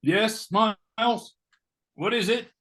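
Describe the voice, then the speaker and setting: A man playing a blustery, oblivious knighted gentleman in a comic stage play, sounding puzzled. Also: sits high, slow pace. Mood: breezy, oblivious. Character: bewildered, blustery English-style knighted gentleman, oblivious husband.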